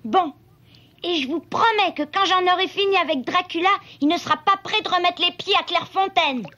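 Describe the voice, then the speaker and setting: A woman's voice: very high voice, brisk, sharp tone, lo-fi sound. A woman voicing a brave, determined young boy beaver in a French cartoon, earnest and bold. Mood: eager, determined. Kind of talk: French cartoon dub acting. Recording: music under cartoon dialogue.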